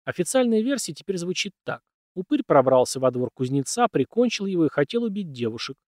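A man's voice: a little high, big pitch swings, a little fast, a little mellow, dry recording, studio quality, pitched above the performer's own voice. A man voicing a condescending, shrewd young noblewoman's secretary in an audiobook, with a cool matter-of-fact manner. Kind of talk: audiobook character dialogue.

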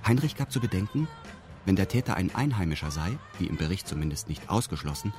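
A man's voice: sits low, very animated, fast, music in the background. A man narrating a radio drama in the first person, in a formal, detached and meticulous manner. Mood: detached, exact. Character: detached man recounting events with pedantic exactness.

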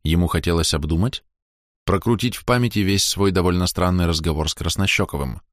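A low-voiced male narrator reads a novel aloud. The delivery is scripted literary storytelling, recorded dry and close, with no room around it. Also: fairly quick, no echo. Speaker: audiobook narrator.

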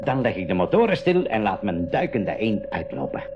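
A man's voice: on the low side, big pitch swings, normal speed, muffled recording, over music. A man playing an affable professor and expedition captain in a 1980s school radio drama. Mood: agreeable. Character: affable professor, captain of his own exploring vessel. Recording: slightly noisy 1980s radio recording.

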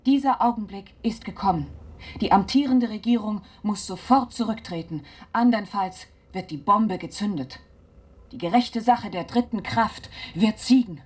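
An actress playing a resolute revolutionary delivering a stern, declamatory proclamation in a German radio play. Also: female voice, mid-range voice, moderate pace, husky, lightly acted. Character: resolute female revolutionary reading an ultimatum.

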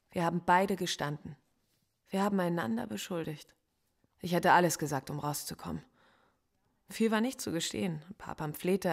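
A quiet, guarded professor suspect. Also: female voice, on the low side. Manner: quiet, measured, often hushed and introspective. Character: female philosophy professor suspect, lonely, guarded, defiant. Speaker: suspect under interrogation. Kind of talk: radio play acting.

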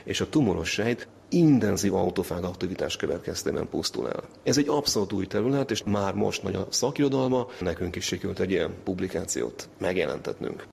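A man with a low, creaky voice explains scientific research in an interview. His tone is husky, and he speaks as an expert laying out his findings. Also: big pitch swings, a little mellow.

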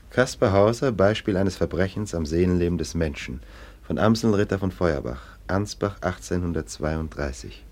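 A deep-voiced, scornful police official. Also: male voice, moderate pace, darkish tone. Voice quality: sneering, dry mockery. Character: stern male police official, scornful and mocking. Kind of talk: 1960s German radio play acting.

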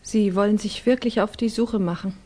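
An actress playing a gentle young Russian daughter in a 1960s radio drama. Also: female voice, medium pitch, fairly even intonation, normal speed, natural voice. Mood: questioning. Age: young adult.

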